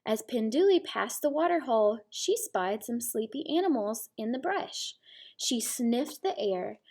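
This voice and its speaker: Female teacher, slightly high voice, storybook read-aloud for young children, explanatory delivery. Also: expressive, measured pace, a little mellow.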